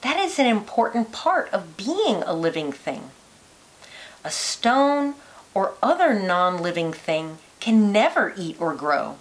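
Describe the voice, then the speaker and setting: A woman reading a children's educational picture book aloud like a teacher, phrasing it carefully and deliberately for young listeners. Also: mid-range voice, sing-song.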